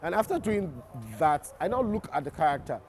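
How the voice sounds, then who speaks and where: Nigerian male actor, interview guest, a bit high-pitched, thoughtful, repeats himself as he thinks. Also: sing-song, fairly quick, darkish tone, a little hiss or hum. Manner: reflective, restarts and repeats words while explaining. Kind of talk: interview answers.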